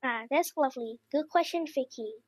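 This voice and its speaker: A young student reading out interview questions in a polite, scripted way. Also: female voice, high voice, moderate pace, no echo, soft background music.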